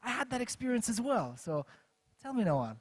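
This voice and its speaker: A man's voice: very high-pitched, sing-song, brisk, slightly rough. A man moderating a book fair panel, talking to the audience with enthusiasm. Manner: enthusiastic, warm welcome to audience. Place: event stage.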